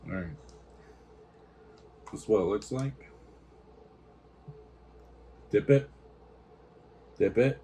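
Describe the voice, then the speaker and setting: Mellow-voiced man tasting snacks. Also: lowish voice, extremely slow, long pauses, a little hiss or hum, poor audio. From United States. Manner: easygoing, stumbles over foreign names. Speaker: host of a snack taste-test channel. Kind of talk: casual taste-test chat, reading product notes aloud.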